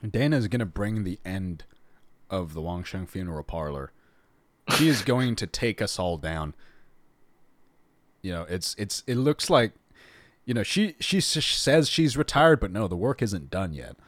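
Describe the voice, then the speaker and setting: Male podcast host, low pitch, dry close sound, rambling chatty delivery. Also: very animated, measured pace, pauses often, no room sound. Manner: rambling, restarts often, laughs a lot. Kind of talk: casual interview chat.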